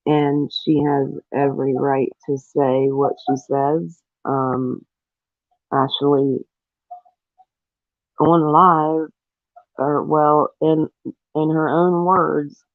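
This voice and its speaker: Slow-talking man on a phone-like line. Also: a little high, vocal fry, dry recording. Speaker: livestream co-host reading viewer comments. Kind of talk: casual livestream commentary. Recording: thin phone-like audio.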